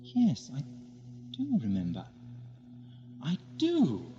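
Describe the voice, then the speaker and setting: Casting: whimsical, wry British time-traveller hero, male actor, very animated intonation, 1980s audio drama with music underneath. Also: medium pitch, slow, dull audio. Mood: wry, playful.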